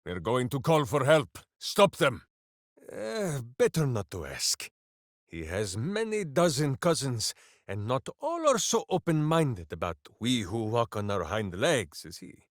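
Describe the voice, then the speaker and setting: Big earnest hamster-loving ranger, talks about himself in third person, slow and dramatic, voice swooping up and down. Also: male voice, mid-range voice, huge pitch swings, no echo, studio quality. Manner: speaks of himself in third person, grand heroic proclamations. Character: hulking earnest male ranger warrior with a pet hamster. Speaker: video game character. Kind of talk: game voice lines.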